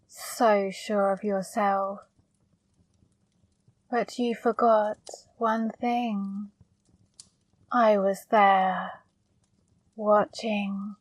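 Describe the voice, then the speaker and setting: Woman playing a very slow, flirty demoness, ASMR. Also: mid-range voice, dry recording, rough recording. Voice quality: soft, breathy, partly whispered. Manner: slow, coaxing, teasing. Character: flirty, teasing female demon, gently scolding. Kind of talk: ASMR roleplay, intimate direct address. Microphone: close microphone, dry.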